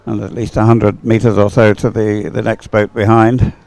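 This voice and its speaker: A man giving live sports commentary in an unhurried, conversational way. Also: fairly low pitch, level tone, gravelly, a little mellow, no echo. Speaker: race commentator.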